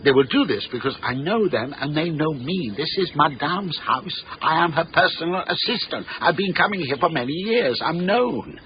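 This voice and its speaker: Fussy male assistant, higher voice, lively intonation, formal, insistent, anxious, radio drama. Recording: dull radio recording with some background noise.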